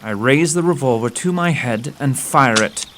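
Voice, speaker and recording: A man's voice: medium pitch, expressive, normal speed, a bit bright, lightly acted. Man acting a precise, self-assured detective. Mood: demonstrative. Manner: precise, formal, old-fashioned address. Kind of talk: audio theatre acting.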